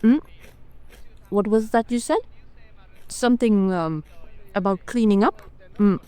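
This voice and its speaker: A woman's voice: medium pitch, unhurried, warm tone, smooth, no room sound, natural voice. Woman playing an airy, eccentric vampire archduchess. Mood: vague, languid. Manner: airy, drawling, theatrical. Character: eccentric, languid vampire archduchess, airily doting. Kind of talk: fantasy ASMR roleplay.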